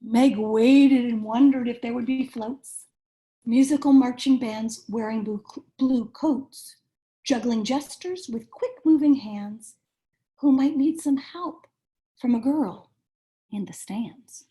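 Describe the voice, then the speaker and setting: A woman reading a children's storybook aloud in a measured, expressive storytelling manner. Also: a little high. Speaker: cantor reading a bedtime story.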